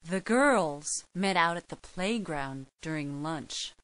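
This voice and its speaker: A woman's voice: low voice, expressive, slow pace, fairly bright tone, no echo. A woman narrating a children's storybook from a script as the storyteller.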